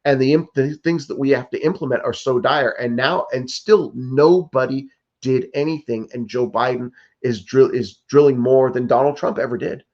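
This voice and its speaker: A man in his fifties speaks in an earnest, pressing way, stumbling over restarts and leaning on "like" and "you know." His voice sits at a middle pitch. The audio is dull and muffled, as if it were coming through a video call. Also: moderate pace, darkish tone. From United States. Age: 50s. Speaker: political candidate. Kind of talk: interview answers.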